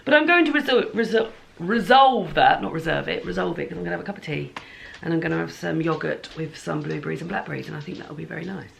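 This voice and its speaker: A woman's voice: low voice, quick pace, creaky, hardly any pauses, slight background noise. A woman chatting casually in a home vlog diary about her plans and reading, rambling and correcting herself as she goes. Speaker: book vlogger.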